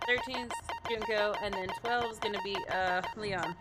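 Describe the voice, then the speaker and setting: A man doing a whiny falsetto sidekick. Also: fairly even intonation, normal speed, lightly acted, rough recording. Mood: fussy. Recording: loud game music behind.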